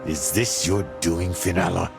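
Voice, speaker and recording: Embittered game warlord: low and gravelly, slow, imperious scorn, proud and suspicious. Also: male voice, fairly even intonation, over music. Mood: stern, suspicious. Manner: imperious, scornful, deliberate emphasis. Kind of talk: dramatic video game acting.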